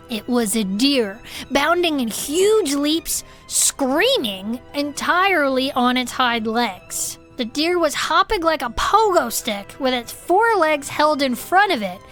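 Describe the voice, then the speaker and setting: A woman's voice: sits high, lively intonation, unhurried, a bit bright, faint music behind. A woman playing a chatty adventurer who co-hosts a cryptid club in an improvised comedic audio fiction, telling tales conversationally and with playful spookiness. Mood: intrigued, spooked. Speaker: host.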